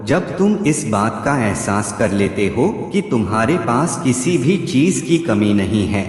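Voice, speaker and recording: A man narrating scripted motivational quotes in measured, aphoristic short phrases. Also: fairly low pitch, big pitch swings. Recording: echoey hall reverb with background music. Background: music.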